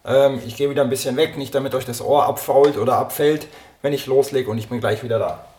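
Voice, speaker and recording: A man's voice: medium pitch, fast talker, background noise. Man with a cold, cooking in his kitchen. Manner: relaxed, talks while chopping and cooking. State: slightly hoarse from a cold. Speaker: podcast host cooking a recipe. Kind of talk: casual cooking podcast chat. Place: home kitchen. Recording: kitchen clatter and clicks behind. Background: dishes, pots and pans clattering.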